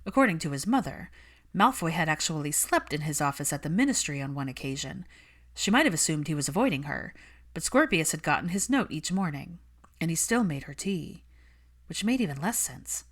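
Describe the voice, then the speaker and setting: A woman's voice: low-pitched, very animated, brisk. A woman reading fiction as an audiobook narrator.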